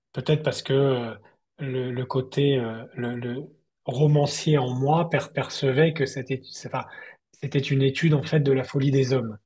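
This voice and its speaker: A male novelist from southern France in a reflective online interview, speaking thoughtfully and haltingly, often restarting his words. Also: mid-range voice, darkish tone, breathy edge. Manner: thoughtful, halting delivery with repeated word starts. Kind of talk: reflective online literary conversation. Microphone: online video call.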